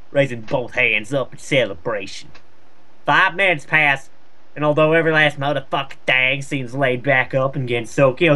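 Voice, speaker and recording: A man's voice: mid-range voice, sharp tone. A man casually reading slang-laden fan fiction aloud for comedy, with sarcastic asides.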